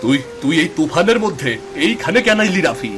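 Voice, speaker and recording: A man as a commanding, higher-voiced village man. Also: big pitch swings, brisk, music in the background. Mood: urgent, alarmed. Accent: rural Bangladeshi. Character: commanding, urgent village man rallying others. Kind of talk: dramatised Bengali story acting.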